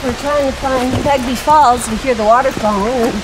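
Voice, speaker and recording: A woman chatting casually as she hikes, recorded outdoors on a handheld camera. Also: sits high. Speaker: travel vlogger. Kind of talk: casual chat while hiking. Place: outdoors on a forest trail. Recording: loud rushing-water hiss outdoors.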